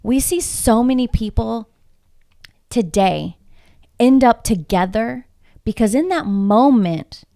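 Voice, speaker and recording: A woman's voice: fairly high pitch, animated, slow, creaky, long pauses, dark tone. A woman from Houston, Texas, whose first language is Spanish, talking as a podcast guest, emphatic and reflective and full of rhetorical questions. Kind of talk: casual podcast conversation.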